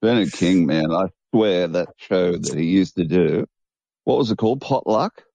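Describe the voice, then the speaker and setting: A man's voice: deep voice, normal speed, gravelly, dark tone, no room sound. A man co-hosting a casual chat show, stammering at the start of sentences. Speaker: co-host. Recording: dull lo-fi dry sound.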